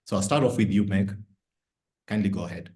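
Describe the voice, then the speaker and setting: A man moderating a virtual business panel over a video call, courteous and somewhat halting as he speaks. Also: deep voice, warm tone.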